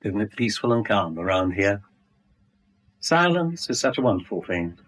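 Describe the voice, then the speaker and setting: An actor playing a smug middle-aged blackmailer in a 1970s radio drama, suave, wordy and mockingly courteous. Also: male voice, on the low side, sing-song, normal speed, fairly bright tone, poor audio. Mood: smug, leisurely. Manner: suave, wordy, mock-polite, teasing.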